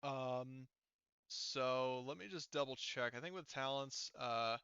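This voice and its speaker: A man acts as game master in a casual, halting way, working through rules aloud. His voice is bright and smooth, recorded dry with no room sound. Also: mid-range voice, no echo, dull audio.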